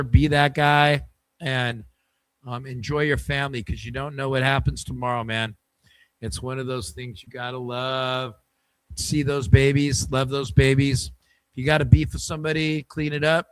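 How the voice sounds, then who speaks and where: A man's voice: medium pitch, a bit bright, smooth, dry recording, rough recording. A man hosting a sports podcast, chatting loosely and signing off for the holidays. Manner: loose, rambling and friendly. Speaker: podcast host. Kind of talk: casual sports show chat.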